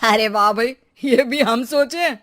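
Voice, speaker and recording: A woman's voice: fairly high pitch, slow pace, fairly bright tone. A woman voicing an arrogant, domineering young husband in a cartoon moral story, with a scornful way of talking. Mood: mocking. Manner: domineering, mocking.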